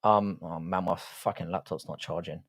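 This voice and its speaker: A man's voice: low voice, fairly even intonation, normal speed. An Irish-born man with a South London accent, chatting casually with his viewers while hosting a livestream and swearing freely. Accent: South London English. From Ireland. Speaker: livestream host. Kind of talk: casual livestream chat reading viewer comments.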